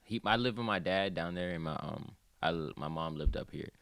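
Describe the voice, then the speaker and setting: A young man from Jamaica chats in a loose, laid-back way, his very low voice gravelly and fried at the edges. He trails off into mumbles at the ends of phrases. The sound is close and dry, like a studio podcast mic. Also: moderate pace, fairly bright tone, dry recording. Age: young adult. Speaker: guest rapper. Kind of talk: casual interview chat.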